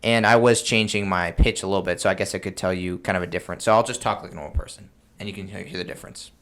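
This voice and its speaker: A man's voice: deep voice, steady tone, racing, a bit bright, slight background noise. A man hosting a podcast, explaining things in a casual, chatty way. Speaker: podcast host.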